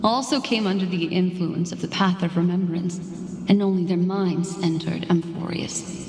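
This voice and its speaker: Woman acting low, poised mystic in reverb. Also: fairly even intonation, normal speed, darkish tone, a little hiss or hum, natural voice. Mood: explaining, assured. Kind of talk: video game voice acting. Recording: long echoing reverb, music behind.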